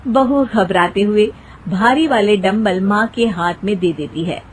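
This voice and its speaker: A woman's voice: mid-range voice, measured pace, breathy edge. A woman narrating a scripted comic story as a storyteller.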